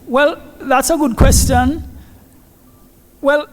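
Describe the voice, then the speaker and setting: Formal presenter in a reverberant hall. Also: male voice, extremely high pitch, steady tone. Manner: measured, formal. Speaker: conference presenter. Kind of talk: answering a question at a conference. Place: large conference hall.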